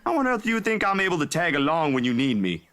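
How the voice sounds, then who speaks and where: A man's voice: fairly low pitch, lively intonation, moderate pace. A man acting a laid-back, caring young man in a visual novel, speaking casually and a little hesitantly. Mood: teasing.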